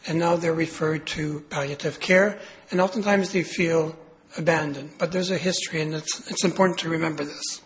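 A man with a raspy voice, hoarse from a cold, giving a clinical lecture with many pauses and fillers. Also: fairly high pitch, fairly even intonation, poor audio. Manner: hesitant, many fillers and restarts. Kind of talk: medical lecture.

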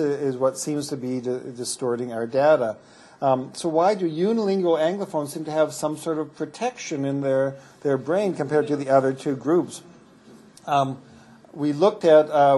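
Soft-toned man giving a research talk. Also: fairly high pitch. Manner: explanatory, frequent restarts and fillers. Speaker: medical researcher presenting findings. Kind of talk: academic conference talk with Q&A.

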